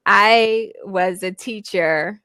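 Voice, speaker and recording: A woman's voice: medium pitch, sharp tone, clean tone, no echo, studio quality. An American woman tutoring English over a video call, friendly and given to easy laughter. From United States. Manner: slow, friendly, laughs often. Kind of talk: casual video-call conversation.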